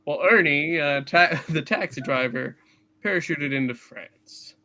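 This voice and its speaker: A man narrating a scripted play in a hammed-up, deliberate style with dramatic pauses. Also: fairly high pitch, pushed and bright, a little hiss or hum. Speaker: narrator in a live play reading.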